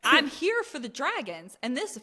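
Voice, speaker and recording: A young adult woman co-hosting a casual book podcast, chatty and animated, laughing often as she acts out little quotes. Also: high voice, a little slow. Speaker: podcast co-host. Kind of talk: casual book club chat.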